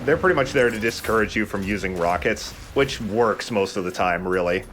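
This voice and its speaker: Low-voiced man explaining a video game. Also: a little fast. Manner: casual, explanatory, profane. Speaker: let's play host playing the game. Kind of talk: casual gameplay commentary. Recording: faint background noise.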